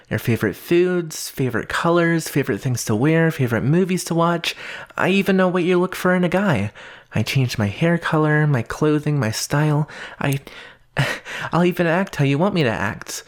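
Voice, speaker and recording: A man acts out an obsessive young male stalker for an ASMR roleplay. He speaks very intimately at an unhurried, halting pace in a mid-pitched voice. His devotion is tender yet possessive, with quiet menace underneath. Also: natural voice. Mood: fervent, pleading. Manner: slow, halting, breathy murmurs, nervous laughs.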